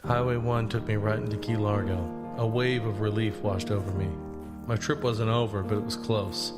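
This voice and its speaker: A man acting as a laid-back young man narrating an audio drama about himself. He speaks plainly and conversationally, like scripted first-person storytelling. His voice sits a little low, under prominent guitar music. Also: steady tone, moderate pace, close to an everyday voice. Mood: easygoing, reflective. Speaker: narrator and lead.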